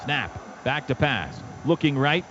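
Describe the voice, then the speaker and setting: A man's voice: medium pitch, animated, takes it slowly, lo-fi sound. American radio football play-by-play man, noisy broadcast. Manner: clipped present-tense calls in short bursts. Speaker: play-by-play announcer. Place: stadium radio booth. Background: clear outdoor stadium background noise.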